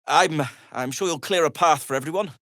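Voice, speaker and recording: A man's voice: a little high, fairly quick, sharp tone, dry recording, studio quality. A man playing a fussy, loyal, long-suffering middle-aged gnome in a video game, hesitant and stammering, with wry, formal wording. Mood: awkward, deferential. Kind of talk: video game character acting.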